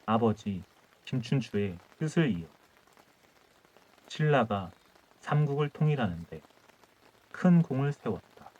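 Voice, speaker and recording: A man narrating a folk tale as a calm audiobook reader, reading in measured short phrases. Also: medium pitch, muffled recording. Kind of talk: calm bedtime storytelling.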